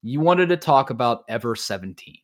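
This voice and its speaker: A man with a mid-pitched voice hosts a casual podcast chat. He speaks informally and tends to think out loud as he moves through questions for his guest. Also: expressive, fairly quick. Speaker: podcast host.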